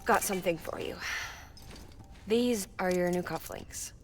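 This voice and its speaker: A woman playing an earnest, candid engineer in a video game cutscene, speaking in measured phrases with tenderness and quiet sorrow. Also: lowish voice, a little hiss or hum, lightly acted. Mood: matter-of-fact. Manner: measured, explanatory, short phrases with pauses.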